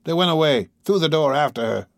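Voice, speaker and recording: A man voicing a lonely, excitable little old man in an audiobook, with a thin, shaky, halting delivery. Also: mid-range voice, no room sound, clean studio sound, voice pushed up. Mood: agitated, grieving. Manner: halting, stammering, repeating words.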